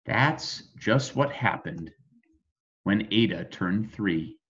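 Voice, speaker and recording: American male storyteller for rhyming kids' books, highly expressive intonation, deliberate and slow, somewhat muffled sound. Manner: dramatic emphasis, deliberate phrasing. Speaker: storybook reader. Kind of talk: expressive children's bedtime story reading in rhyme.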